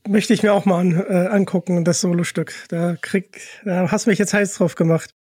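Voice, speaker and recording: A man's voice: sits high, steady tone, moderate pace, a little mellow, slightly rough, no echo. A man hosting a casual interview, speaking in an appreciative, complimentary way to his guest. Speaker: interviewer, host.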